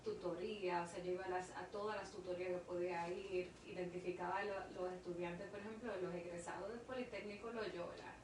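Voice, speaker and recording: A woman in her thirties with a Dominican accent, talking through her story with earnest emphasis. She is heard from a distance in an echoey room, with a clear layer of background noise. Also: fairly low pitch, fairly even intonation, fairly quick, hardly any pauses. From Dominican Republic. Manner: earnest, emphatic, repeats herself for stress. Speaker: interview guest, industrial engineer. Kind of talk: casual interview conversation.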